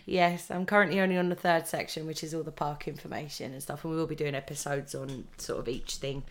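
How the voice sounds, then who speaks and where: A woman's voice: low-pitched, steady tone, quick pace. A woman hosting a podcast in a casual, chatty way. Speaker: podcast host.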